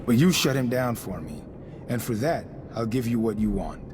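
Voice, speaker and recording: An actor playing a stern, ambitious vice overseer of a religious order in a video game, measured and politely menacing. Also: male voice, mid-range voice, expressive, noisy background, close to an everyday voice. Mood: guarded, assertive. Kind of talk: dramatic video game dialogue.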